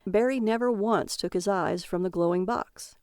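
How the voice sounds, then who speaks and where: A woman reading a dark comic short story in an even, measured way. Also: mid-range voice, smooth, no room sound, studio quality. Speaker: story reader. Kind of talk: scripted narration of a dark comic tale.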